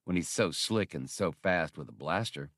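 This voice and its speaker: A man's voice: sits low, expressive, moderate pace, fairly bright tone, no room sound, clean studio sound, pitched below the performer's own voice. A man voicing a cynical, wry starship smuggler captain with sardonic, grudging remarks, in an audiobook. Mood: wary, grudging.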